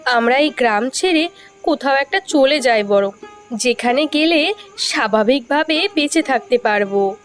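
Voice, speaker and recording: Woman acting anxious cartoon villager, high female voice, weary, soft music behind. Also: expressive, unhurried. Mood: worried, weary. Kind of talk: scripted cartoon story dialogue. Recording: music under the voice.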